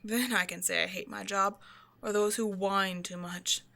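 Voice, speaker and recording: A woman's voice: medium pitch, fairly even intonation, creaky, sharp tone. Woman acting a haughty, condescending vampire scientist. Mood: wry, aloof. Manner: condescending, dry, measured, sighing. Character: cold, haughty century-old female vampire scientist, wryly menacing. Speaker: voice actor in an audio roleplay. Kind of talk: scripted ASMR roleplay monologue, speaking to a listener.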